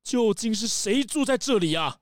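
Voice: mid-range voice, expressive, moderate pace, dry recording, clean studio sound, close to an everyday voice. An actor voicing a fretful, indignant castle owner with a demanding, flustered manner in a children's audio drama. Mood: questioning.

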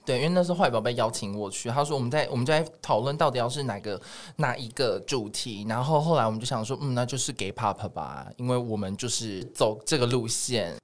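A man's voice: medium pitch, quick pace, few pauses. A man with a Taiwanese Mandarin accent telling personal stories in casual podcast chat, earnest and a little self-deprecating. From Yilan, Taiwan. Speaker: podcast guest, music producer.

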